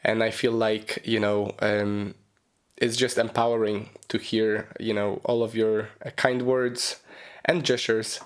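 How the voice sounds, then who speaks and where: A man hosting a solo podcast, his voice raspy and hoarse from a cold, talking loosely with lots of ums and you-knows. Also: lowish voice, flat delivery, measured pace. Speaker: podcast host. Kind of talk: casual solo podcast monologue.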